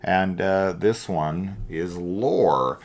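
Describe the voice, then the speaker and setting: A man's voice: low voice, drawn-out words. A middle-aged man hosting a casual film review, rambling and opinionated, with emphatic points.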